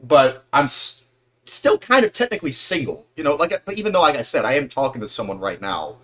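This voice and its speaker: A man in his mid-twenties talks casually on a livestream. His pitch is middling but swings around a lot. He rambles earnestly, circling back to rephrase what he just said. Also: sing-song, brisk, fairly bright tone. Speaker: livestream host.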